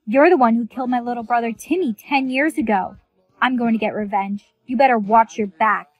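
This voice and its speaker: A woman's voice: on the high side, a little fast, dry recording, faint music behind, natural voice. A woman playing stern, accusing female characters in scripted skits, with a dramatic, declarative delivery. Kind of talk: scripted skit acting.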